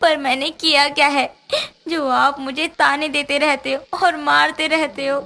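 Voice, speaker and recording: A woman's voice: high voice, level tone, unhurried, gravelly, sharp tone, faint music behind, exaggerated voice. A woman playing a put-upon young daughter-in-law in a cartoon moral story, dramatically voiced. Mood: tearful, pleading. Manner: dramatic, swings from meek pleading to menace. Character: put-upon young daughter-in-law, tearful, turning fierce when possessed.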